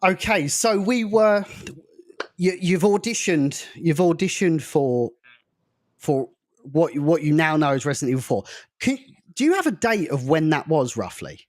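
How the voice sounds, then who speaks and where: A man's voice: fairly high pitch, big pitch swings, a little slow, a little mellow, no echo, studio quality. A man hosting a casual podcast interview in an enthusiastic, jokey manner. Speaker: podcast host.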